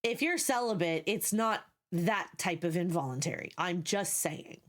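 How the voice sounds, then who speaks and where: A woman's voice: fairly low pitch, expressive, normal speed. A young woman streaming live, talking casually to her viewers. Her voice is scratchy and sleepy, and she is blunt and sarcastic, swearing freely and repeating herself as she goes.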